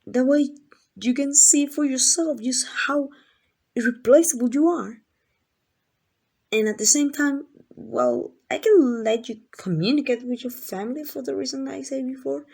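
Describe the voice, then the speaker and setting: A woman's voice: high voice, a little slow, creaky, darkish tone, breathy edge, exaggerated voice. A woman playing a lovesick, possessive female kidnapper in an intimate ASMR roleplay, doting and rambling in a flustered, coaxing way. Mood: insistent, adoring. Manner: coaxing, rambling, hesitant asides.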